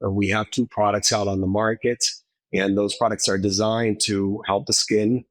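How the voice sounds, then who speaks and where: Israeli-born doctor guy, lowish voice, lots of uhs, dry sound. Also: flat delivery, normal speed, no echo. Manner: hesitant, many fillers and restarts. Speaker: dermatologist guest. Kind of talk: interview answers.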